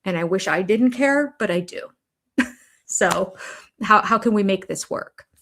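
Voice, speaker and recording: A woman's voice: mid-range voice, lively intonation, measured pace, noisy background. An older woman hosting a casual watch-along stream, chatting about a reality show and reading viewer comments aloud. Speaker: YouTube host.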